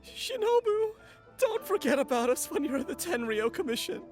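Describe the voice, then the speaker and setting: Excitable, high-pitched gang underling. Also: female voice, normal speed, soft background music. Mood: tearful, guilty. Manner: earnest, emotional. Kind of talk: video game voice acting.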